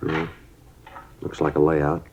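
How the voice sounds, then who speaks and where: An actor playing a terse police detective who narrates in a clipped, deadpan, matter-of-fact manner, in a 1950s radio drama. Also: male voice, rumbling low, slow pace, a little hiss or hum. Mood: calm, steady. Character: terse male police detective, middle-aged. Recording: dull lo-fi 1950s radio broadcast.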